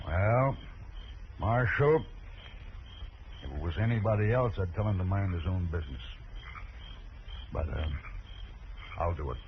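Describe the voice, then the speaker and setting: A stubborn older rancher in a radio western, low-pitched and gruff. He speaks deliberately and with stern pride. The old recording is very narrow and tinny, with faint noise. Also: animated. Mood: stern, proud. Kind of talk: 1950s radio western acting.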